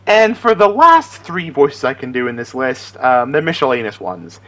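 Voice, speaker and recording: A man's voice: mid-range voice, sing-song, normal speed, a bit bright. A chatty, enthusiastic, giggly man talking casually as an amateur voice impressionist introducing his character impressions. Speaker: amateur voice impressionist and aspiring voice actor.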